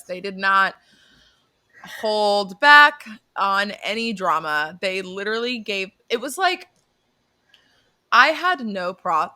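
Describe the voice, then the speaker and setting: Bright-voiced chatty young woman host. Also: medium pitch. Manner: chatty and animated, laughs often, swears. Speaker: podcast co-host. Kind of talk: casual chat.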